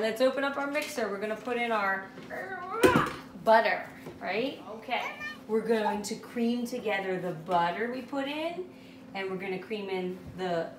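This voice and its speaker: A woman in her thirties, a mother casually guiding young children through steps with encouraging check-in questions. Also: mid-range voice. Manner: encouraging, step-by-step directing with frequent check-in questions. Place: home kitchen or dining room, indoors. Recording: echoey room with clear background noise.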